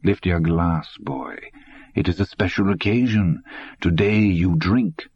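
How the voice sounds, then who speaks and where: A man's voice: low-pitched, unhurried, darkish tone, husky, highs cut off. A man doing a gloating old man. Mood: mocking. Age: older. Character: sly old German man, cold, mocking.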